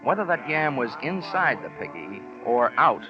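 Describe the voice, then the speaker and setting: Male actor as radio insurance sleuth: medium pitch, dry, wisecracking narrator, old-broadcast sound. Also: very animated, normal speed, dull audio, over music, lightly acted. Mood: wry narration. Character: wry, wisecracking male insurance investigator narrating his case. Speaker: lead and narrator of a radio drama. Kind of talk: 1950s radio detective narration.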